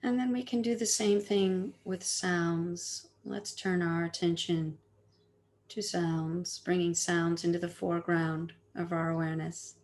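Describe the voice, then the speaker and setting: A woman teaching meditation, giving gentle, soothing guided instructions with long pauses between phrases. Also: fairly low pitch, fairly even intonation.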